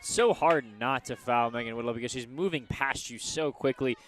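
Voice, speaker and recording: A man's voice: mid-range voice, moderate pace, a bit bright. A man giving live radio color commentary on college lacrosse, explaining the plays in a conversational way. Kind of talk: live sports analysis between plays.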